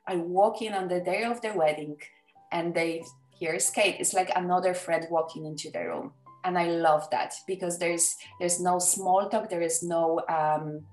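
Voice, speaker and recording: A woman's voice: lowish voice, fairly even intonation, moderate pace, a little mellow. Polish-accented woman, echoey and distant. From Poland. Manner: chatty and enthusiastic, many fillers and restarts. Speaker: wedding photographer, podcast guest. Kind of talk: casual interview chat. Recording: echoey room, off the mic, faint music.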